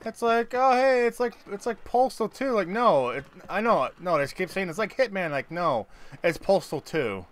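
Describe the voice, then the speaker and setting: A man's voice: high voice, big pitch swings, normal speed. A man chatting casually and sarcastically, with some swearing, while playing a co-op video game. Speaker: gamer playing co-op. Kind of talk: casual gaming chat. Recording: background game noise, ambience.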